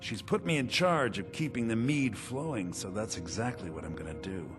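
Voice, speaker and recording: A man acts out a sly fence and schemer from a fantasy game. His voice is deep, and he talks in a smug, businesslike way. Music plays clearly behind him. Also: animated, moderate pace, lightly acted. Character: sly, self-satisfied male meadery conspirator and fence. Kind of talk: fantasy video game acting.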